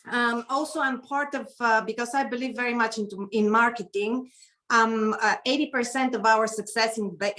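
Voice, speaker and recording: Middle aged bulgarian lady, kinda high voice, introducing herself informally on a video call, bit hesitant. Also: fairly bright tone. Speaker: guest keynote speaker and etiquette consultant. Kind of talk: informal self-introduction speech.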